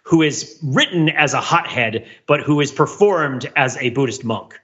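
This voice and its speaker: A man's voice: medium pitch, expressive, normal speed, fairly bright tone, muffled recording. A man hosting a casual pop-culture discussion podcast, talking emphatically and repeating phrases for effect. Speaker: podcast host.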